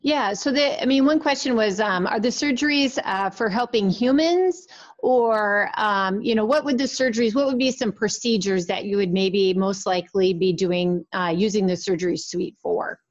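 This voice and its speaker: A woman's voice: mid-range voice, moderate pace. A woman moderating an online tour, casually relaying questions in a tentative, conversational way over a video call. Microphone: remote video call. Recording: dull lo-fi video call audio.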